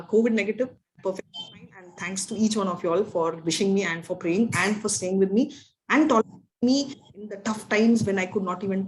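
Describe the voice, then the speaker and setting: A woman's voice: mid-range voice, a little mellow, a little hiss or hum, rough recording. Chatty woman teacher. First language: Telugu. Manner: chatty, rambling, often asks "right?". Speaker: spiritual teacher. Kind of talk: casual talk to an online class.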